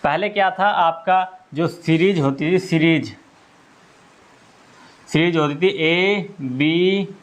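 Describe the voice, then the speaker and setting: Higher-pitched man explaining. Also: takes it slowly, pauses often. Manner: repetitive, explanatory, often checks with "okay". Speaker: exam-preparation coach. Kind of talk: informal explainer of exam news.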